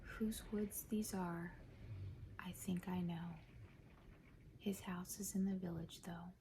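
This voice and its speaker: A woman speaking softly in a breathy half-whisper, gentle and measured, as an ASMR host reading to listeners. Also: lowish voice, fairly even intonation, slight background noise. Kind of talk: soft-spoken ASMR poetry reading.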